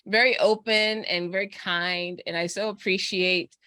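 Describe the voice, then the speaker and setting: A woman's voice: mid-range voice, steady tone, a little slow, smooth, no room sound, studio quality. Laughing, encouraging woman podcast host. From Texas, USA. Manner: warm, laughs often, encouraging. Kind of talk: casual interview chat.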